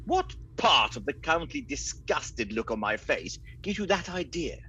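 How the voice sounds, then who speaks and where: A man plays an indignant comic hero in a radio play, wry and dry. His voice is husky and very animated in pitch, with a slightly dull recording. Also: moderate pace, full character voice. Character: indignant, wry captive male hero in comedy. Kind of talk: comedic radio play acting.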